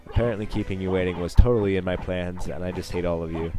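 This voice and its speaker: A man's voice: deep voice, fairly even intonation, fast talker, over music. A young man sick with a cold, sniffling as he gives rambling, self-mocking commentary over his own gameplay. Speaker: gaming channel host.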